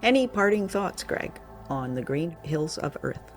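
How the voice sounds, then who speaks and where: A woman's voice: deep voice, very animated, a little slow, faint music behind. A woman co-hosting a podcast, chatting casually and explaining things with hesitant phrasing. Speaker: podcast co-host. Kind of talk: casual review chat.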